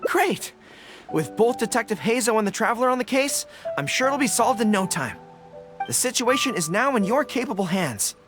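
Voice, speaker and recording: A man's voice: very high-pitched, sing-song, normal speed. A man playing a dutiful young army general in a video game, speaking formally and politely with a commanding manner. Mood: earnest, grateful. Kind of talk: English video game voice acting. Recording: background music under the dialogue.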